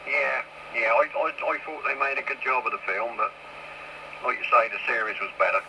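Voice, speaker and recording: A man's voice: mid-range voice, fairly even intonation, fairly quick. A man chatting casually over CB radio. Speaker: CB radio hobbyist. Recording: noisy, crackly CB radio.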